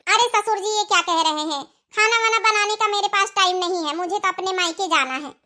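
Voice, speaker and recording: Very high, bright, bossy young bride played by a woman. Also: fairly quick. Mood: insistent, dismissive. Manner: insistent, scolding, complaining. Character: defiant young newlywed daughter-in-law, bossy and argumentative. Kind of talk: cartoon comedy dialogue.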